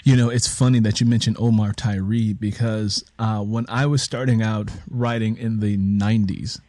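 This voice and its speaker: A man's voice: on the low side, steady tone, normal speed, darkish tone, dry recording. A man with an American accent hosting a conversational interview and sharing his own anecdotes. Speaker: interview show host and author.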